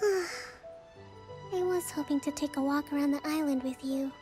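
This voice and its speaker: A soft-spoken young priestess ruler, high voice, unhurried. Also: female voice, over music. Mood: calm. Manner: soft, patient, composed. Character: young female island priestess leader, calm and gracious. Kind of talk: video game voice acting.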